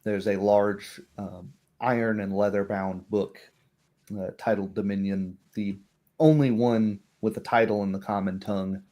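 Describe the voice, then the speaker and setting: A man narrating a tabletop roleplay as game master in a measured, descriptive way. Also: fairly low pitch, steady tone, warm tone.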